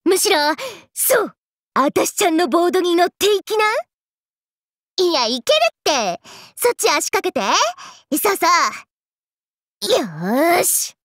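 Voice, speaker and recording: Very high, hyper trendy party girl. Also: sing-song, measured pace, pauses often, no room sound, clean studio sound, full character voice. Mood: energetic, cheeky. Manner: exuberant, breezy slangy speech. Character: hyper, slangy, fun-loving trendy young party girl. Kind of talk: anime game character lines.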